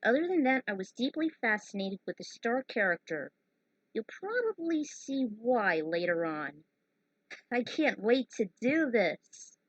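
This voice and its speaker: A woman's voice: a little high, very animated, unhurried, breathy edge, no room sound, lo-fi sound. Woman, thirties, fan fiction author, informal and opinionated, casual commentary, dry recording. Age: 30s. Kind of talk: casual commentary on own story.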